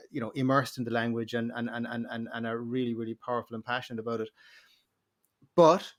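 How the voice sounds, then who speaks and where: A man hosting a podcast, who stumbles and repeats words many times before getting to his question. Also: medium pitch. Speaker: podcast host. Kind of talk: podcast interview.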